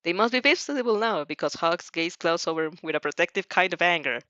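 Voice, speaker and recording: A woman's voice: on the low side, lively intonation, fairly quick, sharp tone, no echo, lo-fi sound. A woman narrating fan fiction with casual reaction asides, sometimes stumbling and rereading phrases.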